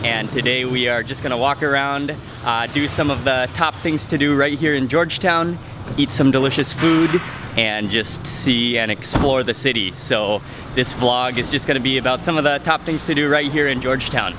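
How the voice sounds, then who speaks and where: A man's voice: medium pitch, normal speed, background noise. A man hosting a travel vlog, talking casually to the camera as he narrates his outing. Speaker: travel vlogger, host. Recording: music behind, outdoor street sounds. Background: music, street and vehicle sounds.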